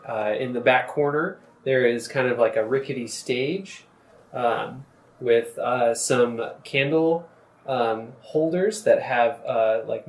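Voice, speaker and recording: A man narrating as game master, describing scenes in a relaxed way with many pauses and fillers. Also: medium pitch. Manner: relaxed, many ums and uhs. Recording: echoey room with faint noise.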